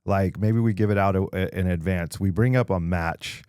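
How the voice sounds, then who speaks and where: A man co-hosting a podcast in casual chat, animated and jokey, often repeating himself. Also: low voice, moderate pace, clean tone, no echo, clean studio sound. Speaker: podcast co-host.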